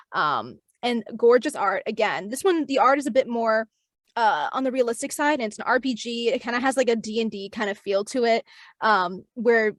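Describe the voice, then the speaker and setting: A woman voice actress answers interview questions in a slightly high voice. She is friendly and casual, with many ums in her speech. The recording is dry and close to the mic. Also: no echo. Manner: friendly, light laughs, frequent ums. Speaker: voice actress guest.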